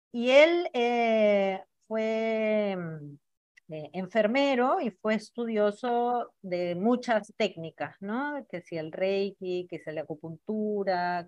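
Young adult woman guest, mellow and unhurried, easygoing interview talk, dry close mic. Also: medium pitch, dry recording, highs cut off. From Israel. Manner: relaxed, chatty, laughs lightly while telling her story. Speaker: podcast guest, alternative therapist. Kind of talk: casual interview storytelling.

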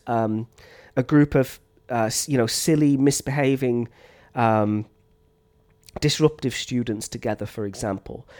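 A science teacher giving relaxed, unscripted advice to other teachers, with frequent ums and a slight cough from a chest infection. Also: male voice, medium pitch. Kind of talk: teacher training talk.

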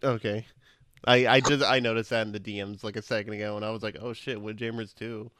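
A man's voice: fairly low pitch, level tone, fast talker, crowd noise. A young man co-hosting a casual podcast chat, joking and laughing often. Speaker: podcast co-host.

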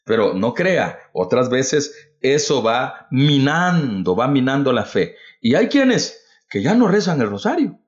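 Fervent man preaching, lively pitch. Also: unhurried, few pauses. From El Salvador. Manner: fervent, calls listeners "brother", repeats rhetorical questions. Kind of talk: livestreamed devotional talk. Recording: dull lo-fi recording.

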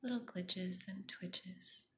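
A woman guiding a meditation in a soft, breathy near-whisper, gentle and soothing. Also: on the low side, steady tone, slow. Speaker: meditation guide, podcast host. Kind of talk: guided meditation.

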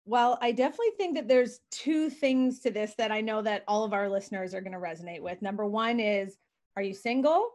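A woman's voice: mid-range voice, a little fast, darkish tone. A woman hosting a podcast, asking friendly, conversational questions. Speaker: podcast host. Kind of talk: podcast interview.

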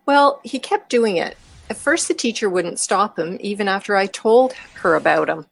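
A woman's voice: mid-range voice, animated, normal speed, gravelly, no room sound, rough recording. A woman playing a mischievous, eccentric woman chatting with a friend in an audio drama. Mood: wry, storytelling. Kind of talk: amateur audio drama dialogue.

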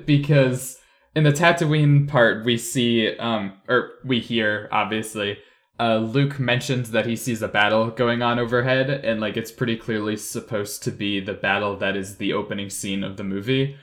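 A man's voice: lowish voice, steady tone, unhurried, fairly bright tone. A man leading a casual group discussion as a podcast host.

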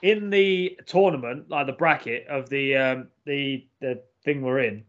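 A man's voice: medium pitch, a little slow, a bit bright. An English man co-hosting a podcast, blunt and swearing freely in casual banter. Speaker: podcast co-host. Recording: muffled lo-fi podcast audio.

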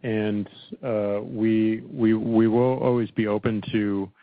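A man with a low voice answers like an executive on a conference call. His phrasing is halting, with repeated words and pauses to think, and the sound is dry and thin, as through a narrow phone line. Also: fairly even intonation, dry recording. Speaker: company executive answering analysts. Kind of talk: earnings call remarks, unscripted.

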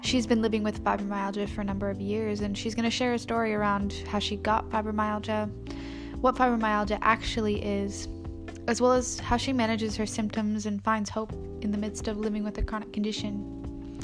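A woman hosting a podcast, giving an upbeat, clearly paced introduction to her guest. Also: mid-range voice, flat delivery, brisk. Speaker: podcast host. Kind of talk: scripted show intro. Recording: voice over background music.

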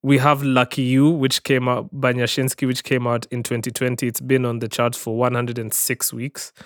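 A young man with a mid-pitched voice co-hosts a podcast in relaxed, casual chat. His voice is smooth and clear, and he asks questions and steers the conversation. The recording is close and dry. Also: moderate pace, no room sound. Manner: inquisitive, steers the talk, reads out chart details. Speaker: podcast co-host.

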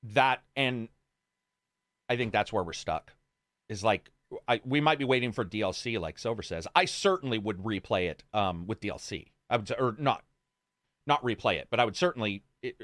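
A man's voice: lowish voice, lively intonation, normal speed, fairly bright tone, dry recording, studio quality. A man hosting a gaming podcast in casual, unscripted chat, with an informal and self-deprecating manner.

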